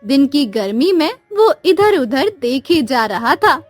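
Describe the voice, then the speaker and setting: A woman's voice: high-pitched, big pitch swings, slow, faint music behind. A woman narrating a scripted cartoon moral story.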